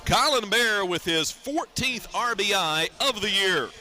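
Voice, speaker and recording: A man calling baseball play-by-play on the radio in a live sports commentary style, with measured, deliberate phrasing. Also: high-pitched, lively intonation, sharp tone, faint music behind.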